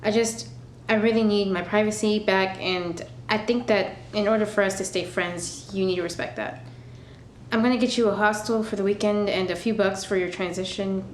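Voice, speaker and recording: A woman's voice: medium pitch, steady tone, normal speed, natural voice. A woman playing an exasperated host standing her ground in a radio thriller, firm, terse and indignant. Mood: uneasy, firm. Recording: echoey room, faint background noise.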